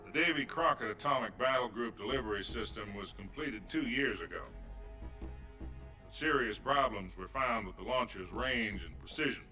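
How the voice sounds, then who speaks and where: A grave American president, talks in a low-pitched, forceful voice. He is formal, measured and diplomatic, holding his ground under pressure, with a musical score behind him. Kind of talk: dramatic cutscene voice acting.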